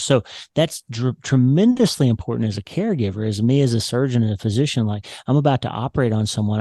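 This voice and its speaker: A man's voice: lowish voice, dark tone, no room sound, clean studio sound. A man, a surgeon explaining research studies at length in a video-call interview from a home office. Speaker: neurosurgeon guest. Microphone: large studio mic on boom arm.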